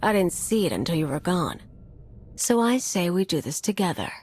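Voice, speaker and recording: An actress playing a vengeful, brazen anarchist bomber in a video game, with a sardonic, taunting manner. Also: female voice, fairly low pitch, sing-song, normal speed, a little mellow, slightly rough. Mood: persuasive, intense. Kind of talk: video game cutscene acting.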